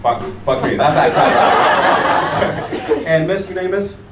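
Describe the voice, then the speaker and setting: An American man doing a stand-up comedy set on a club stage, animated and chatting with the audience. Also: a little high, slow. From United States. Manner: animated, mimics locals and chats with the audience. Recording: echoey room taped from far off, crowd noise.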